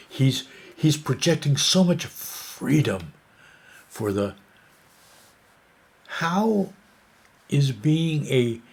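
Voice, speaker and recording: An American man talks in an unhurried, rambling way, stopping often for fillers and restarts. His tone is indignant as he comments on the news, and his slow delivery lets each point drag out. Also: fairly low pitch, a little mellow. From United States. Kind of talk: casual opinion monologue.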